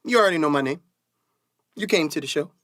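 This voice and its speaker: A man's voice: fairly high pitch, animated, quick pace, fairly bright tone, dry recording. Man acting a cocky young flyer, dry sound. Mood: cocky, teasing. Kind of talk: stage-play dialogue for radio.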